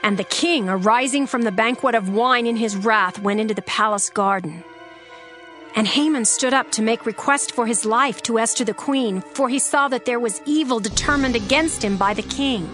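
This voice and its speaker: A woman narrating a dramatized scripture reading in a measured, formal way. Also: on the high side, fairly bright tone, soft background music.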